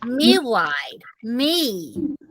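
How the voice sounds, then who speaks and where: A woman's voice: fairly high pitch, sing-song, extremely slow, background noise, full character voice. A woman voicing a stubborn, demanding little boy in a children's storybook, speaking in short babyish bursts. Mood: insistent, pleading.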